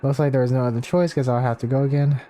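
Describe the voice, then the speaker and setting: Mellow-voiced man live-streaming a game. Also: medium pitch, steady tone, quick pace. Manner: offhand, reads dialogue aloud between asides to chat. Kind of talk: casual live gameplay commentary, reading out quest dialogue. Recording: game music behind. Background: game music.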